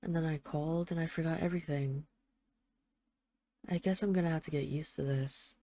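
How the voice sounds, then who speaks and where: A woman's voice: deep voice, fairly even intonation, brisk, dry recording, clean studio sound, lightly acted. A woman playing an affectionate, wry mafia boss who misses her partner, speaking in an intimate, conversational roleplay monologue like a voicemail. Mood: tender, sheepish. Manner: conversational, affectionate, gently self-deprecating, wry. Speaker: audio roleplay voice actor.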